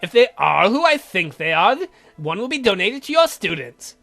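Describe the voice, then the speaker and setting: A young vampire count as a man plays him with a high male voice and a gravelly edge. He is sardonic and easily irritated by his relatives, in a visual-novel read-through with faint music behind. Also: sing-song, normal speed, sharp tone, full character voice.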